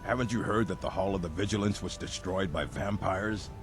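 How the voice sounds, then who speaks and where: An actor playing a stern, zealous vampire hunter in a fantasy video game, blunt and scornful, with a gravelly delivery. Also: male voice, low-pitched, brisk, background noise, lightly acted. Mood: scornful, grim. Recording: game music behind the voice. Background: game music.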